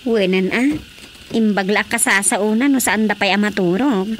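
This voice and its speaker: A woman's voice: mid-range voice, moderate pace, slight background noise, lightly acted. A woman playing a chatty, teasing village daughter in a Filipino radio drama comedy, speaking expressively. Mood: matter-of-fact.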